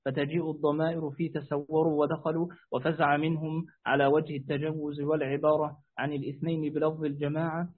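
A man's voice: fairly high pitch, flat delivery, fast talker. Man reading a classical text over a tinny phone line. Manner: careful formal reading with restarts. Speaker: student reading the text aloud. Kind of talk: reading classical commentary aloud. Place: rooftop outdoors. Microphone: phone on a weak network.

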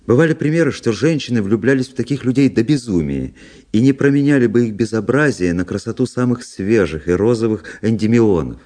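An actor playing a cool, ironic, bored young officer in a radio play, speaking in a measured aristocratic way. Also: male voice, medium pitch, animated, mellow, close to an everyday voice. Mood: reflective narration. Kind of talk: radio drama acting.

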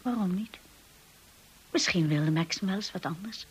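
A shy young bride in a radio play, with a very breathy, hushed voice. She speaks hesitantly and apologetically, anxious to please everyone. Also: lowish voice, normal speed, rough recording. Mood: earnest, unsure. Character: timid, anxious young new wife narrating and speaking. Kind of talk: radio drama acting.

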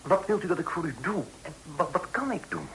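An actor playing an earnest, cautious young gentleman in a period radio drama, speaking in a formal, measured way. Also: high-pitched, big pitch swings. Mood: cautious, regretful. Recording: noisy old radio drama recording.

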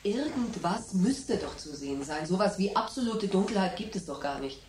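A woman's voice: medium pitch, expressive, moderate pace, a little mellow, slight background noise, natural voice. An actress in a German radio drama playing a stubborn sceptic, a woman who reasons in a composed, dry way. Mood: uneasy, controlled. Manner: composed, reasoning, slightly sardonic.

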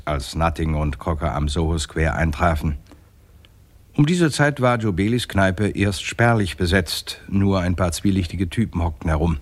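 An actor as the sober narrator of a 1960s German radio crime play, setting scenes in scripted narration. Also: male voice, sits low, heavily acted.

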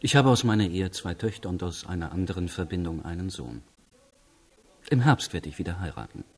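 A man playing a reserved schoolteacher in his thirties in a radio crime drama, answering in a measured, guarded way. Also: deep voice, animated, slightly rough, heavily acted. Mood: stiff, defensive. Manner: measured, restrained, short answers.

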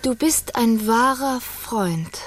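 A young fairy-tale princess, poised and frank. She speaks formally and deliberately in a fairly high voice, as in a radio play. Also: lively intonation, slight background noise.

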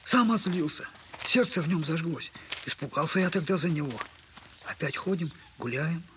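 An actor playing a humble, wounded retired captain and loving poor father in an audio drama, speaking effusively and haltingly in a servile old-fashioned way. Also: a little high, expressive, a bit bright, slight background noise. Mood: mournful, tender.

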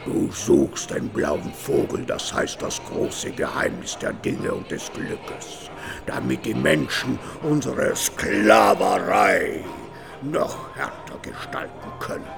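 An actor voicing a bitter, reproachful old talking tree in a radio play, creaky and grandly declamatory. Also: male voice, on the low side, big pitch swings, takes it slowly, exaggerated voice. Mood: stern reproach. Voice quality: creaky, gravelly. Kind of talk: theatrical German radio play acting. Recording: studio radio play with music behind.

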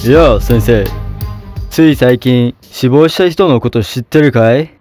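A rough, menacing bandit, as a narrator puts him on in a storybook tale, coarse and blunt. His voice sits at a middling pitch with soft music behind. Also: measured pace, natural voice. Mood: prying. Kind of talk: storybook voice acting.

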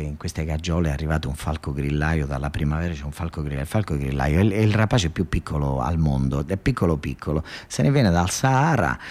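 A man with a fairly low voice and a southern Italian accent delivers a theatrical monologue for radio. He speaks colloquially and emphatically, halting and repeating phrases as he builds the story. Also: darkish tone.

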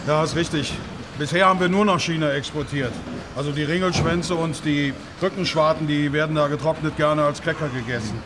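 Aggrieved pig farmer, male actor, high voice, self-justifying and halting, naturalistic radio drama, noisy location. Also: lightly acted. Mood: subdued. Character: aggrieved, defensive male German pig farm owner. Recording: loud ambient noise of an animal barn.

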